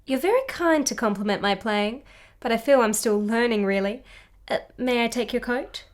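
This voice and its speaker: A woman's voice: on the high side, moderate pace, close to an everyday voice. A woman playing a gracious, intelligent young wife in a period radio play, polite and articulate. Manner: polite, articulate, self-possessed. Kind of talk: radio drama acting.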